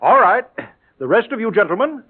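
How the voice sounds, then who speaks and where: A fussy music director, tactfully impatient and overly polite. His husky voice swoops up and down as he instructs. The audio is a narrow, tinny vintage radio drama broadcast. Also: high voice, big pitch swings, a little fast. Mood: fussy, patronizing. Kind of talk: old-time radio comedy-drama acting. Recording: tinny, band-limited old radio broadcast.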